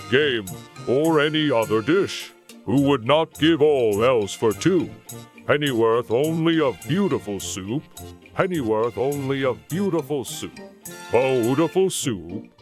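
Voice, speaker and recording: A man voicing a melancholy, weepy old sea creature in a storybook, with a mournful, drawn-out delivery. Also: mid-range voice.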